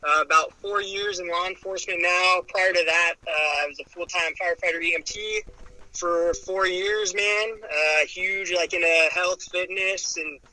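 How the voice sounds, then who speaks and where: A man in his late twenties talks in a relaxed, easygoing way, dropping "dude" and "man" into nearly every sentence. His voice is very bright and sits a little high for a man. He sounds like a police officer chatting casually on a podcast. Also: normal speed, no echo, poor audio. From Arizona. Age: 20s. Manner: laid-back, says dude and man constantly. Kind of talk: casual chat.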